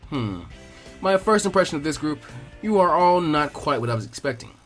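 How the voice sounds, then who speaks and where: A man's voice: on the high side, animated, brisk, a bit bright, faint music behind. A man voicing an aloof, bored adult ninja teacher in a story reading, casual in manner. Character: aloof, bored, silver-haired masked male ninja teacher. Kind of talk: acted fan fiction reading.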